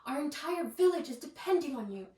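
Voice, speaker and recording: A woman reads out a storybook vampire mother, high-voiced and imploring, pressing her plea with urgent emphasis. The sound is echoey and slightly distant, with faint noise. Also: expressive, a little fast, a little mellow. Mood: urgent pleading.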